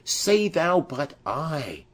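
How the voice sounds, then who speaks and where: A man's voice: a little high, very animated, extremely slow, pitched above the performer's own voice, exaggerated voice. A man voicing an impassioned, lovesick young noblewoman in declamatory Shakespearean verse for an audiobook. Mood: anguished. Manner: declamatory, poetic.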